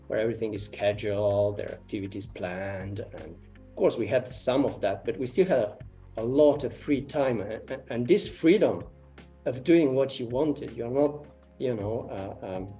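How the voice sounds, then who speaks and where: A man from Romania with a warm, relaxed tone at a middle pitch. He answers interview questions slowly and hesitantly, full of ums and restarted phrases, and faint music plays underneath. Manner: hesitant, many fillers and repeated starts. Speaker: interviewee, artist and ecology researcher.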